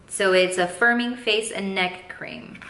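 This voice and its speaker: A woman's voice: mid-range voice, steady tone, measured pace, bright, forceful tone. Woman, 30s, beauty vlogger, casual rambling chat, playful asides, roomy echo. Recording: echoey room, faint background noise.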